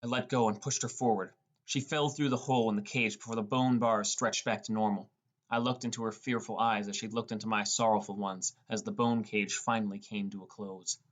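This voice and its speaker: A man's voice: fairly low pitch, steady tone, a little fast. A man reading a scripted first-person story as an audiobook narrator.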